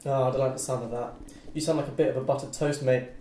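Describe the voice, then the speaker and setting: A man's voice: mid-range voice, steady tone, fast talker, dark tone, lightly acted. A young man playing a goofy, mock-posh student party promoter in a script read-through. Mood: chummy. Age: young adult. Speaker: student cast member at a table read. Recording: roomy, distant mic, faint noise.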